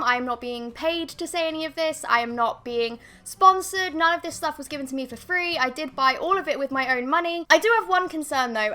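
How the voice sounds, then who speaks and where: A young woman in her twenties, vlogging a makeup review at her desk at home, her voice a bit croaky from being ill. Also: high voice, bright, forceful tone. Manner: chatty, rambling, self-deprecating. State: slightly croaky from illness. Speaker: beauty vlogger. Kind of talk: casual makeup review to camera. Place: bedroom with bookshelves, indoors. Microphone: fixed camera at desk.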